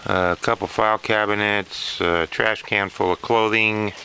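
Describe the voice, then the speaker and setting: A man talking casually and unscripted as a vlogger, listing items in a plainspoken, matter-of-fact way with pauses. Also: fairly low pitch, steady tone, pushed and bright, a little hiss or hum, low-quality recording. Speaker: vlogger showing storage auction finds. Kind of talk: casual unscripted narration to camera.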